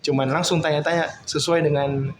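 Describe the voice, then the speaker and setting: Seorang pria muda dengan logat Makassar, membawakan podcast obrolan santai dengan gaya bercanda. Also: male voice, on the high side, little pitch movement, a little hiss or hum. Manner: playful, joking, quick banter. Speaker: podcast host. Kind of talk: casual podcast chat.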